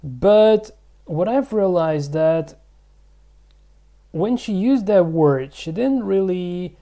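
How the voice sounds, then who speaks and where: Male vlog host, gravelly mellow voice, casual rambling delivery full of fillers. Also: high-pitched, lively intonation, slow. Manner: rambling, thinking aloud with many fillers. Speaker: channel host.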